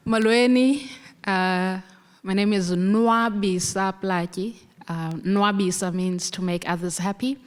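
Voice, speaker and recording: A woman's voice: mid-range voice, fairly even intonation, takes it slowly, clean tone. A South African woman, a theatre director, introducing herself at a conference panel in a reflective way. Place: large conference hall. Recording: long hall reverb.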